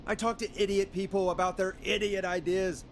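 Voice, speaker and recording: A man's voice: sits high, level tone, moderate pace, a little hiss or hum. A man playing a jaded, sarcastic late-night radio DJ in a video game. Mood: skeptical, irritated.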